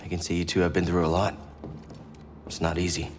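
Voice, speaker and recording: Earnest young male hero voiced by an actor, understated and lowish, cinematic game dialogue over clear music. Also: flat delivery, brisk, close to an everyday voice. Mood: subdued. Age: young adult. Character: reserved, earnest young male Jedi adventurer.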